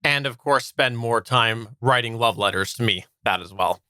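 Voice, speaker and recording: A man's voice: medium pitch, moderate pace, sharp tone, dry recording, studio quality. A man co-hosting a podcast, explaining advice in a semi-scripted, instructional style. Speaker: podcast co-host.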